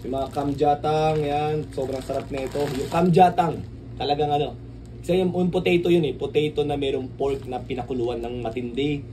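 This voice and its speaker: A man's voice: a little high, fairly even intonation, moderate pace, warm tone. Filipino man vlogging, distant noisy recording. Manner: rambling, repeats tag questions like asking for agreement. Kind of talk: casual unboxing vlog chat. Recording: clearly noisy, distant microphone.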